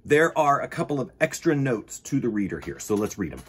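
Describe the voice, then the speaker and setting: Animated, husky male children's storytime host. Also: medium pitch, a little fast. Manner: enthusiastic, emphatic, talking directly to young viewers. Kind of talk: animated picture-book reading with chatty asides.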